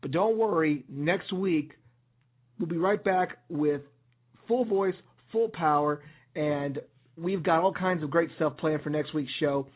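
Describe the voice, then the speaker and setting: A man hosting an internet radio show as DJ, hoarse and raspy, talking in an upbeat promotional way with some fillers. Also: fairly high pitch, measured pace. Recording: tinny phone-like line.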